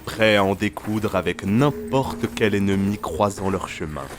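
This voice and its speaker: A man's voice: fairly low pitch, unhurried, noisy background. A man narrating a fantasy audio drama in a literary, solemn storytelling manner.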